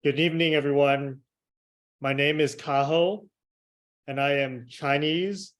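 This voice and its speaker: Slow, measured young man giving a speech. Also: on the high side, steady tone, fairly bright tone. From Hong Kong, raised in Miami. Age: young adult. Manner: slow, measured delivery with pauses. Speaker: club member giving a speech. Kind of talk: prepared speech on a video call. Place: home room with shelves. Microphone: video call webcam.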